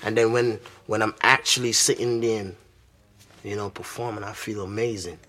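A man from Barbados with a Barbadian accent, a musician talking about his music in a relaxed interview. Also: fairly low pitch, moderate pace. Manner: laid-back, repeats "you know what I'm saying". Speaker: guest musician. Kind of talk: casual interview chat.

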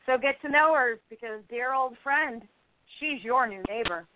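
A woman's voice: high voice, normal speed, a little hiss or hum, lightly acted. A woman acting a hesitant, easily flustered, discouraged actress in a radio play. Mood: brisk, wry. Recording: tinny phone-like lo-fi audio.

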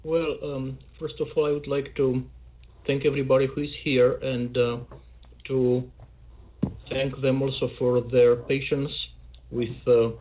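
A man hosting a poetry show over a video call, speaking in a measured, formal way with dry self-mockery. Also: medium pitch, level tone. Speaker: host. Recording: tinny call audio.

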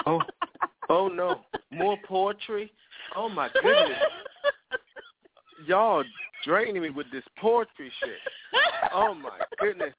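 A man's voice: sits high, wildly swooping pitch, slow pace, gravelly, pauses often, phone-quality sound, very noisy. A man hosting a casual call-in chat show, teasing his guests in a flamboyant way and swooping up into laughter. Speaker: host.

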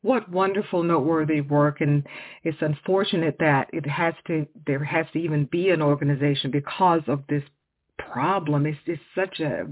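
A woman's voice: deep voice, normal speed, husky, no echo. A woman from Texas hosting a conversational radio interview in an effusive, emphatic way. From Houston area, Texas, USA. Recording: muffled, narrow-band radio audio.

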